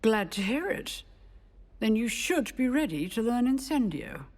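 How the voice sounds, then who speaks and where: Older female magic professor, stern, measured, wry, lively intonation, video game, long hall reverb. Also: fairly high pitch. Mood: stern, instructive. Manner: measured, stern, dryly witty. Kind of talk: scripted video game dialogue.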